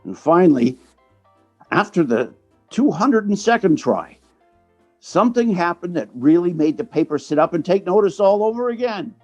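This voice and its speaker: A man's voice: high voice, very animated, normal speed, darkish tone, faint music behind. A man playing an eager theatrical agent who narrates like a showman in an old time radio drama. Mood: suspenseful.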